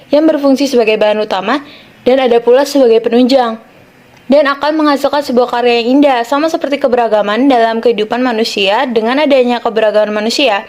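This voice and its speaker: A woman's voice: on the high side, a little mellow. A young woman with an Indonesian accent, explaining scripted school material as a student podcast host.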